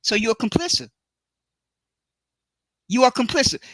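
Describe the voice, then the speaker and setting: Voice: mid-range voice, big pitch swings, unhurried, a bit bright, no room sound, clean studio sound. A person from the United States hosting a livestream, lecturing in a colloquial, emphatic way that hammers the same phrases over and over. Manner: repetitive, preachy, hammers phrases home. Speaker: livestream host. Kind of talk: opinionated livestream monologue.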